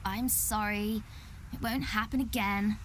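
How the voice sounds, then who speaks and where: A woman's voice: mid-range voice, steady tone, measured pace, a little hiss or hum, close to an everyday voice. An actress playing a spirited, mischievous young girl training as a witcher in a fantasy game, cheeky and bookish. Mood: cheeky, pleading. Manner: cheeky, pleading, recites bookishly. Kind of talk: cinematic fantasy game acting.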